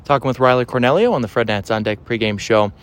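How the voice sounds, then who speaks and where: Man, radio host, pregame show, upbeat, scripted announcer delivery, medium pitch. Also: quick pace. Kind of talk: pregame interview hosting.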